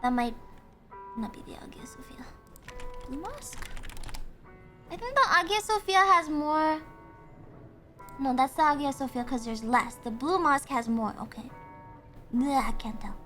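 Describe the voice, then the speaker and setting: High-voiced chatty woman streamer. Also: measured pace, long pauses, faint music behind. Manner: chatty, excitable, gasps and laughs often, thinks aloud. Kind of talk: casual livestream chat.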